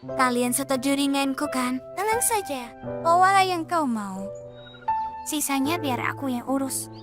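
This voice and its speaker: A woman's voice: high voice, animated, unhurried, over loud music. A woman voicing a fussy, over-careful young creature in a dubbed children's cartoon, talking in a worried, insistent way.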